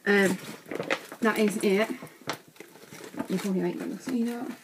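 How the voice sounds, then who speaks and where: A teenage girl, chatting casually in a vlog from her bedroom, a bit croaky from being ill, with lots of ums. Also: mid-range voice, fairly even intonation, unhurried, background noise. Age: teens. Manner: chatty, rambling with many ums. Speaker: vlogger. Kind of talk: casual vlog showing bag contents. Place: small bedroom indoors.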